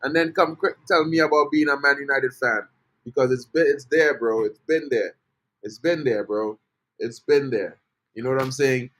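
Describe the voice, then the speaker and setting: A man's voice: medium pitch, moderate pace, fairly bright tone, low-quality recording. A man from Trinidad, hosting a casual live-stream football fan update, chatty and greeting his viewers. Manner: chatty, greets chat viewers, says bro a lot. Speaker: football fan channel host.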